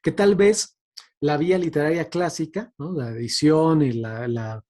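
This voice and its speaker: A man's voice: fairly high pitch, a little slow, dark tone. A man giving an informal academic talk, speaking thoughtfully and hesitantly and often checking for agreement. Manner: hesitant, thoughtful, frequently seeking agreement with tags. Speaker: guest speaker giving a talk on radio drama.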